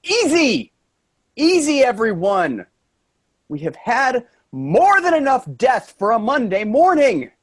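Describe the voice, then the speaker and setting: An exasperated office worker who tries to keep the peace, acted by a man. He is earnest and reproachful, with very mobile pitch, in a staged play reading. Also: huge pitch swings, takes it slowly, full character voice. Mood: upset, pleading.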